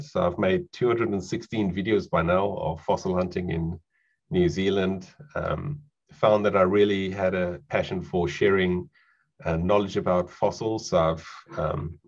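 A middle-aged man with a South African accent gives a relaxed online presentation with lots of fillers, over a video call microphone. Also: low-pitched, lo-fi sound. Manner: relaxed, conversational, frequent fillers.

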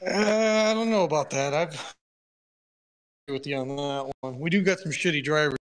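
A man's voice: fairly high pitch, normal speed. A man from Spain chatting casually as a participant in a live audio chat. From Malaga, Spain.

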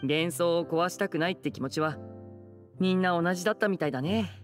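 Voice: mid-range voice, brisk, soft background music, natural voice. An actor voicing a suave, genial young male stage magician in an anime game, light and wry. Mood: wry amusement.

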